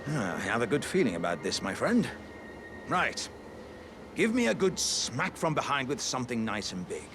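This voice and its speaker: A man's voice: mid-range voice, very animated, normal speed, noisy background. A man voicing a big, earnest, jovial living warrior jar in a video game, with theatrical, old-fashioned courteous speech. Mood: sheepish, hopeful. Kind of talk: video game character acting.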